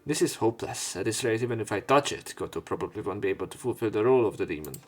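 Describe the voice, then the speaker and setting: A man's voice: fairly low pitch, lively intonation, rapid-fire, soft background music. A man doing a let's play, reading a visual novel's narration and dialogue aloud with informal, sometimes sweary asides. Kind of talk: narrated reading with offhand commentary.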